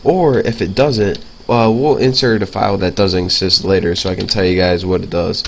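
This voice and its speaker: A man's voice: low voice, fairly even intonation, moderate pace, slight background noise. A young man with a stuffy nose from a cold, casually talking through a programming lesson as he types, with lots of fillers. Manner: casual, filler-heavy, talks while typing. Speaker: programming tutor. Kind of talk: casual screencast coding lesson.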